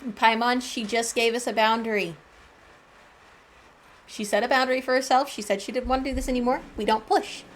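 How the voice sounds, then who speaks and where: A woman's voice: fairly high pitch, steady tone, fairly quick, a little hiss or hum. A woman hosting a gaming channel, giving casual let's-play commentary with chatty, colloquial asides. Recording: game music behind the voice.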